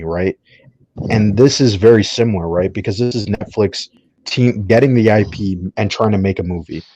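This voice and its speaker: A low-pitched man talks as a panelist on a casual podcast. He states his opinions firmly and keeps checking for agreement with short tag questions. The sound is dry and a little lo-fi. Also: mellow, dry recording.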